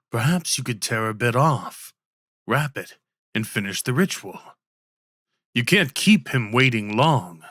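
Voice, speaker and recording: A man narrates a fantasy tale for an ASMR roleplay. His delivery is very unhurried and deliberate, at a medium pitch. The sound is dry, with no room around it. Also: fairly bright tone, no echo.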